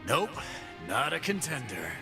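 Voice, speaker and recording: An actor voicing a cocky, brawling male fight-pit boss in game voice lines, full of gruff, boastful trash talk, with game music behind. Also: mid-range voice, very animated, measured pace.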